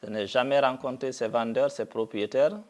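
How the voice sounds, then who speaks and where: A middle-aged man from Guinea making a deliberate public statement, speaking haltingly with frequent pauses and fillers. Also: mid-range voice, fairly even intonation, a little mellow, highs cut off. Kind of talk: slow, deliberate video statement.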